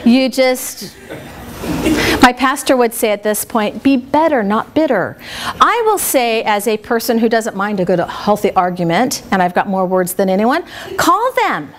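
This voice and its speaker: A woman with a big, high voice leads a workshop for a small group in a meeting room. She talks in a chatty, lively way and laughs often. A faint hiss sits under her voice. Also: unhurried. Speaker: speaker trainer. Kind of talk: workshop teaching.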